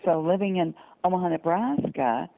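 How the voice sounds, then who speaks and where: A woman's voice: lowish voice, lively intonation, moderate pace, creaky, dry recording. Older woman on a narrow phone line. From southern United States (Georgia, North Carolina). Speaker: interview guest. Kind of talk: personal storytelling in conversation. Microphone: telephone.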